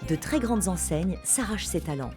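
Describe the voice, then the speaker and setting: A woman's voice: on the low side, lively intonation, normal speed, loud music behind the voice. A woman hosting an interview, asking friendly questions with frequent hesitations. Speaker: host.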